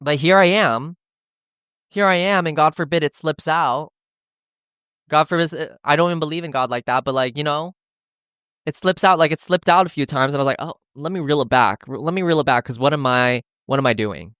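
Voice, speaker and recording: A man's voice: on the high side, brisk, fairly bright tone, clean tone, dry recording, studio quality. A young man hosting a podcast, chatting candidly and rambling about dating, with lots of restarts and "like" fillers. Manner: rambling and candid, heavy use of "like", with frequent restarts. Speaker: podcast host. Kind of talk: casual chat about dating.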